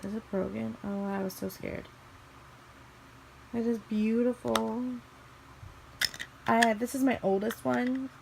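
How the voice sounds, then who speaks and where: A woman's voice: a little high, steady tone, slow, gravelly, pauses often. Chatty young woman, slightly scratchy voice. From Missouri, USA. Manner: chatty, rambling, small restarts and side remarks. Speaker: beauty vlogger. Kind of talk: casual makeup collection chat. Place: bedroom, indoors.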